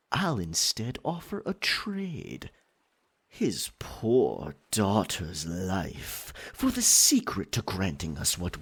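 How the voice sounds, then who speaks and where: Man playing a low, husky, possessive pirate captain. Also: full character voice. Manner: theatrical, teasing, dominant, pet names. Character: possessive, roguish male pirate captain turned merman. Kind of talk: ASMR roleplay acting.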